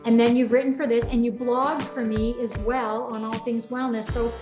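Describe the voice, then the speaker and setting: A woman's voice: fairly high pitch, flat delivery, normal speed. A woman hosting a casual interview in a chatty, informal, rambling way. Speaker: host. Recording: roomy echo, music behind voice.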